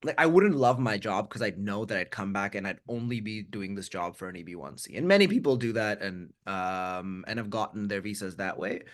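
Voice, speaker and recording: A man in his thirties, from India, speaks with an American accent in a fairly low voice. He tells his story frankly and reflectively over a video call, often repeating words as he restarts his sentences. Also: lively intonation, brisk, few pauses. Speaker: guest. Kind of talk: candid conversational storytelling.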